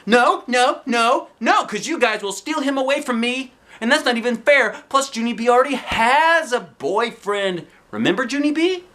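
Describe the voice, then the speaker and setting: A man voicing a bossy, whiny little schoolgirl with a shrill, indignant tone while reading a storybook aloud. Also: expressive, normal speed, hardly any pauses, pushed and bright, full character voice.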